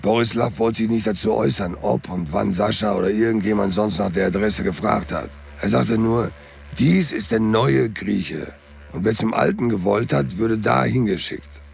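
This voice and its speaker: An actor in a German radio crime drama playing a sardonic, street-tough sidekick who talks in a colloquial, mocking way. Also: male voice, on the low side, normal speed, gravelly, faint music behind. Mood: brisk, dry.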